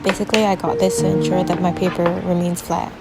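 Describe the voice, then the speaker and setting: A young woman vlogging in a relaxed, chatty way, explaining things step by step from her desk at home. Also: on the low side, quick pace. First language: English. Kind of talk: casual vlog narration. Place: small room at home, at a desk. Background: background music.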